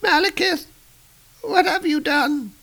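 A man's voice: very high-pitched, takes it slowly, gravelly, bright, forceful tone, pitched above the performer's own voice, exaggerated voice. A man voicing an old male wizard who earnestly pleads for peace. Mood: pleading.

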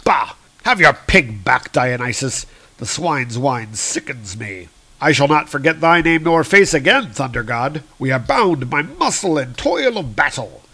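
A man's voice: medium pitch, very animated, a little slow, pushed and bright, husky, heavily acted. Comic book demigod brawler played by a man: male, boastful, boozy, blustering, archaic speech. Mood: gruff boasting. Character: boastful drunken young demigod strongman.

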